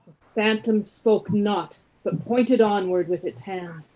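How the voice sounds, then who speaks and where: A woman narrating a radio play as a measured storyteller, reading scripted literary prose. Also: medium pitch, warm tone, lightly acted, low-quality recording.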